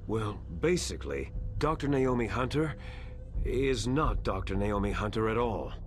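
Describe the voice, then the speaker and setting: An actor playing a stern, wary older military mentor in a video game, speaking with deliberate authority. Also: male voice, fairly low pitch, expressive, slight background noise. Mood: secretive, grave. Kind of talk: dramatic video game voice acting.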